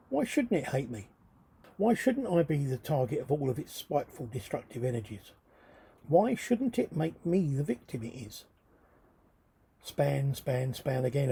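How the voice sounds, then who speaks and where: A man with a husky, rough-edged voice reading his own writing as a theatrical, brooding spoken-word monologue, delivered deliberately and dramatically. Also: mid-range voice, mellow. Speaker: writer reading own work.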